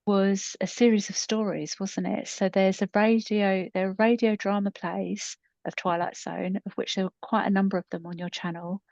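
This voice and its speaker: A woman's voice: mid-range voice, little pitch movement, normal speed, gravelly, few pauses, darkish tone, no echo, dull audio. A middle-aged woman co-hosting a podcast in casual, unscripted chat, explaining things conversationally. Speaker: podcast co-host and storytelling creator.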